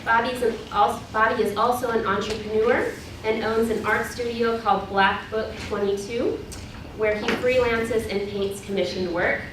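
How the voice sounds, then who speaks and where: A woman's voice: fairly high pitch, steady tone, normal speed. A woman moderating a symposium, reading out speaker introductions with a few fillers. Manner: warm, welcoming introductions with occasional fillers. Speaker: event moderator. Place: college symposium hall. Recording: echoey hall, mic far off, noisy.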